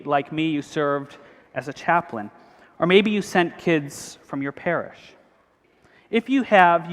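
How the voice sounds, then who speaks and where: A priest, giving an earnest, measured convention speech. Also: male voice, on the high side. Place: large cathedral. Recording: long hall reverb.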